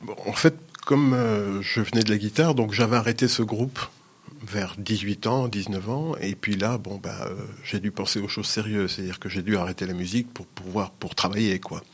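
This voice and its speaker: Gravelly older man. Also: fairly low pitch, steady tone, normal speed. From Sicily. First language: Sicilian. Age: 60s. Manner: hesitant, many restarts and fillers. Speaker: musician guest. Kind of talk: casual interview talk.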